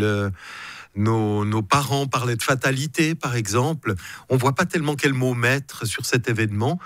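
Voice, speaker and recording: Middle-aged man, mid-range pitch, halting, careful radio guest. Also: fairly bright tone, no room sound. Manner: measured, hesitant, many fillers and restarts. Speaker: council president interviewed as guest. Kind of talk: radio interview.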